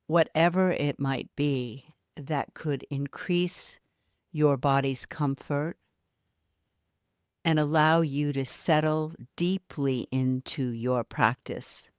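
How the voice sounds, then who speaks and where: A woman leading a guided yoga nidra relaxation in a soft, soothing manner, leaving long pauses between short phrases. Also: low-pitched, smooth, no room sound, clean studio sound.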